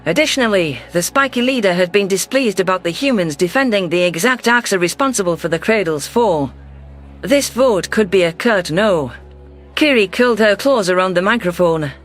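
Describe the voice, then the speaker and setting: A man's voice: high voice, expressive, normal speed, fairly bright tone, faint music behind. A man narrating a science fiction story in the first person, reading a scripted text.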